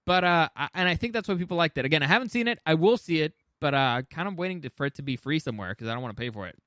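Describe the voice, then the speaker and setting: A man's voice: fairly high pitch, expressive, rapid-fire, a bit bright, no echo, studio quality. A man co-hosting a podcast in casual, informal chat, with some swearing. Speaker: podcast co-host. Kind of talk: casual podcast chat ranking video game movies.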